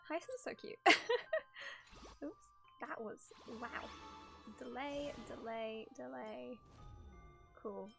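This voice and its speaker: Casting: female game streamer, fairly high voice, giggly casual chatter over background music. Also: takes it slowly, vocal fry, pauses often. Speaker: livestreamer. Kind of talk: casual livestream chat while starting a game.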